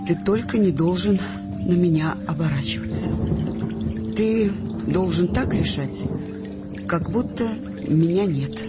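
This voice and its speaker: An actress playing a hurt, tender wife in a Russian audio play, speaking in a halting, intimate, emotional way. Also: female voice, on the low side, close to an everyday voice. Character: Irka, hurt, tender wife of a frightened scientist. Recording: muffled audio play with music behind.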